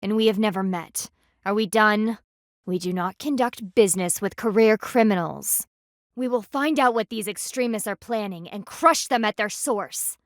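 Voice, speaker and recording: A proud, stern panda guardian from a video game. Her voice sits fairly high and is recorded dry and close. She speaks formally and haughtily, clipping her words like a military commander. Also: no echo.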